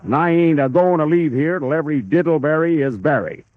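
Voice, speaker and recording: A man's voice: fairly high pitch, a little fast, dry recording, phone-quality sound, voice pushed up, close to an everyday voice. A man playing a cantankerous, feuding old hillbilly father with a folksy Kentucky drawl in a 1930s radio comedy. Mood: stubborn, grudging. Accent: put-on rural Kentucky hillbilly. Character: cantankerous old hillbilly pappy nursing a family feud. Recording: thin, band-limited 1930s radio recording.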